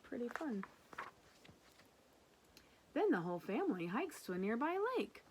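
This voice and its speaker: A woman's voice: fairly high pitch, very animated, measured pace, background noise. A woman speaking as a teacher to young children, reading a picture book and explaining it simply and affectionately. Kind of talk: picture-book read-aloud with casual explanations.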